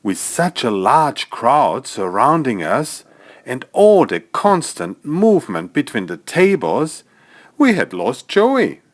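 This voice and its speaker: A man narrating a scripted bedtime story for small children as its author, in an expressive storytelling manner. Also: medium pitch, slow. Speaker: author narrating own stories.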